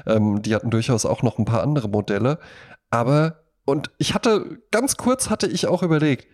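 Male podcast co-host, average pitch, loose rambling delivery that circles and repeats words. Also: lively intonation, normal speed, a little mellow. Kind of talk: casual podcast chat.

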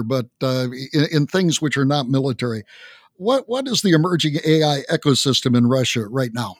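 A man hosting an interview podcast, who speaks in a measured way and asks follow-up questions. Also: mid-range voice, no echo, clean studio sound. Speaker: podcast host.

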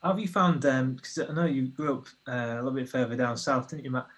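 A man's voice: mid-range voice, quick pace, a bit bright, slightly rough. Curious male podcast co-host. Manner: inquisitive, hesitant phrasing. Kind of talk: casual conversation.

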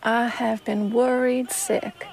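A woman's voice: a little high, little pitch movement, slow, creaky, darkish tone, soft background music. A woman playing a poised, guarded and defiant 1920s Harlem woman in an audio drama, polite but curt. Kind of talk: period audio drama acting.

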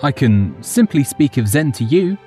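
A man voicing a calm little Buddhist monk who speaks politely and plainly with curiosity. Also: mid-range voice, moderate pace, soft background music, voice pushed up, lightly acted. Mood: curious.

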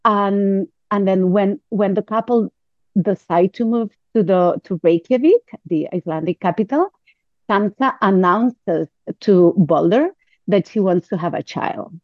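A woman with a Catalan accent, a native Catalan speaker, talking as a guest on a podcast in a halting way with many fillers and restarts. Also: mid-range voice, no room sound, phone-quality sound. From Catalonia, Spain. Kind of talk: podcast interview.

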